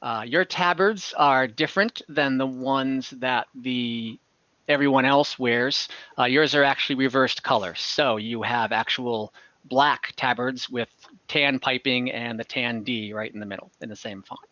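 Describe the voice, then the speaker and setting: A man narrating a tabletop fantasy game as game master over a video call, in a halting, improvised way. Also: medium pitch, steady tone, pushed and bright, no echo. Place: indoors at home, on a video call. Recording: slightly dull online call audio.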